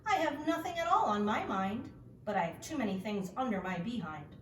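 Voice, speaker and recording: A woman's voice: on the high side, lively intonation, brisk, roomy sound, recorded from a distance, a little hiss or hum, natural voice. Woman playing a breezy, lilting storybook mother goose. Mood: breezy, matter-of-fact. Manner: stutters by repeating word endings, breezy. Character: chatty, unbothered female goose sitting on eggs.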